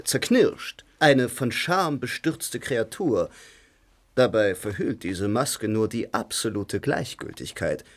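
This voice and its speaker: An actor playing a theatrical stage actor who philosophizes in a declamatory manner. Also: male voice, medium pitch.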